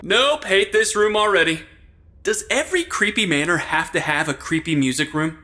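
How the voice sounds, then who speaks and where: A man's voice: high voice, moderate pace, bright, forceful tone, a little hiss or hum. A man playing a sarcastic, exasperated sidekick in a comedy audio drama. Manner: wisecracking, sarcastic.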